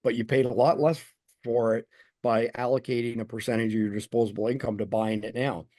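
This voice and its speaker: A man's voice: fairly even intonation, fairly quick, mellow, no room sound. A man being interviewed as a guest, explaining his points confidently and at length. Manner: confident, explanatory, making a pitch. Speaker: guest, survival news founder. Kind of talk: interview answers.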